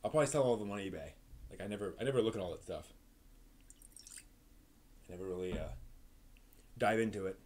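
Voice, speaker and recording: A man's voice: lowish voice, fast talker, pauses often, darkish tone. A man hosting a live stream, chatting casually and off the cuff in a rambling way that trails off. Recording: noisy room background.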